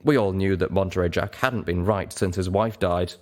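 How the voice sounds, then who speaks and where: Guy doing a gentle stallion lawyer type, lowish voice, dry, formal, storybook character. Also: moderate pace, close to an everyday voice. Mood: sombre. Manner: formal, matter-of-fact, wordy. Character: gentle male pony legal clerk, dry and formal.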